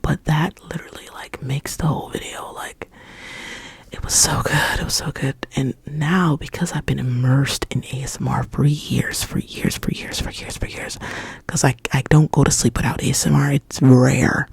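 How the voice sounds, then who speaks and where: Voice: medium pitch, fairly even intonation, moderate pace, creaky, breathy edge, dry recording. A young adult ASMR creator whispering a rambling chat at home, often repeating words over and over as triggers. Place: home indoors, people upstairs.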